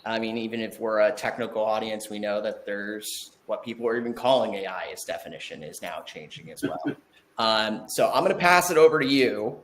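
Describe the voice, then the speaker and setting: A man's voice: on the low side, brisk, fairly bright tone, slight background noise, low-quality recording. A man hosting an online webinar, giving housekeeping notes and introducing the guest in a casual way with many fillers. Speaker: webinar host. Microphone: video call.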